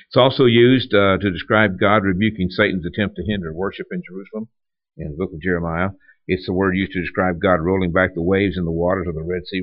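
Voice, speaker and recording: Male preacher, deep voice, plainspoken teaching with restarts, dry lo-fi sound. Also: dry recording. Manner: conversational teaching with frequent restarts. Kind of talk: sermon. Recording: dull lo-fi dry recording.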